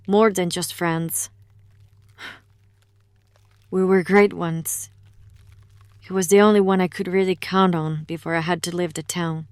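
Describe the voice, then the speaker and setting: A woman's voice: lowish voice, steady tone, a little slow, pauses often, smooth, dry recording, clean studio sound, close to an everyday voice. A woman acting in an audio drama as a guarded, wry young woman who knows occult rituals and explains them in an understated way. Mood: subdued, confiding.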